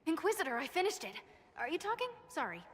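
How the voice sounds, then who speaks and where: Eager, higher-voiced craftswoman. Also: big pitch swings, fast. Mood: eager, proud. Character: eager, awkward female magical craftswoman. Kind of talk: dramatic game voice acting. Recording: long reverb.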